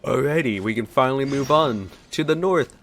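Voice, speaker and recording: A man's voice: mid-range voice, expressive, moderate pace, fairly bright tone, faint music behind. Young man casually commenting on a game. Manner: easygoing, playful sarcasm. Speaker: let's play streamer.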